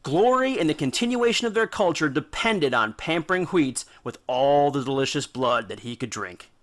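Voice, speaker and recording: Male storyteller host, slightly high voice, colloquial and dramatic scripted narration with punchy emphasis. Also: expressive, normal speed, a bit bright. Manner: emphatic, informal, dramatic phrasing. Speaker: host and storyteller of a mythology series.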